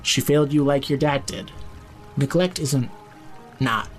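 An actor playing a drunk, blunt, foul-mouthed young man who insistently and gruffly looks out for his friend in an audio drama. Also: medium pitch, unhurried, soft background music, natural voice. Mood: blunt, firm. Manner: slangy, insistent, gruffly caring. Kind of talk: audio drama acting, naturalistic.

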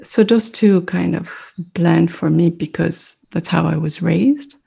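A middle-aged woman whose first language is French, speaking as an interview guest. She talks in a thoughtful, reflective way, pausing often as she searches for words. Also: fairly low pitch, vocal fry, mellow, slightly rough. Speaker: mindfulness meditation teacher, guest.

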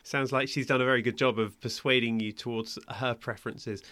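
A man's voice: medium pitch, fairly even intonation, fairly quick, a bit bright. Upbeat man hosting a podcast. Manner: upbeat, scripted intro. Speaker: podcast host.

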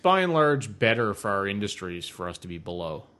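A man co-hosting a podcast, chatting casually in a loud voice with a blunt, matter-of-fact manner. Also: fairly low pitch, very animated, brisk. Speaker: podcast co-host. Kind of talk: casual tech chat. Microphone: microphone through a mixer.

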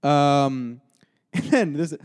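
A man's voice: fairly high pitch, lively intonation, very slow, darkish tone, clear, resonant tone. A man from Massachusetts co-hosting a casual sports podcast, listing things he missed with enthusiasm and frequent laughter. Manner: enthusiastic, list-driven, laughs often. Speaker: podcast co-host.

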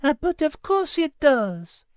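Man putting on a very high, slow female animal sidekick. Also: big pitch swings, no room sound, clean studio sound, heavily acted. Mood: amused.

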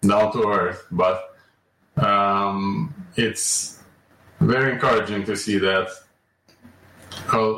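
A man talks slowly and evenly as a podcast guest. His low, flat voice keeps hesitating with ums and uhs. He sits well back from the mic in a roomy, echoey space. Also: a little hiss or hum. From Romania. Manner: measured and slow, many ums and uhs. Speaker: guest, alternative medicine practitioner. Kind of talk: podcast interview. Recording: echoey room, distant mic.

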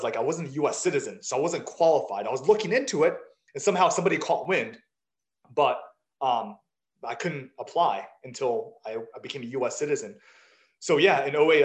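A man in his thirties who grew up in South Africa, a startup founder telling his story in a casual, candid way, self-deprecating and full of quoted back-and-forth. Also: mid-range voice. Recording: roomy echo.

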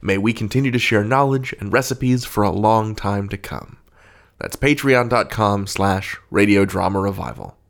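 A man's voice: on the low side, animated, normal speed, vocal fry. A man hosting a podcast, reading scripted announcements in a playful, theatrical way with formal flourishes. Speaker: podcast host. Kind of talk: scripted podcast outro with interview.

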